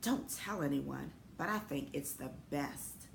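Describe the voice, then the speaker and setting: A woman's voice: sits low, lively intonation, a little slow, pitched below the performer's own voice, heavily acted. A woman voicing an encouraging kindergarten teacher in a storybook, explaining things simply. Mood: confiding. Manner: clear, instructive, encouraging. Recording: echoey room with noticeable background noise.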